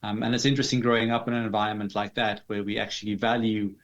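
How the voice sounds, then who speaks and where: A man's voice: lowish voice, level tone, fast. South African man, dull lo-fi recording. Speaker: interview guest, digital artist. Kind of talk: conversational interview answers.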